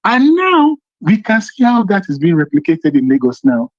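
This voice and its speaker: A man's voice: sits high, wildly swooping pitch, normal speed. A man with a Nigerian Yoruba accent giving emphatic political commentary as a phone-in caller, repeating points and answering his own questions. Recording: muffled, band-limited phone call.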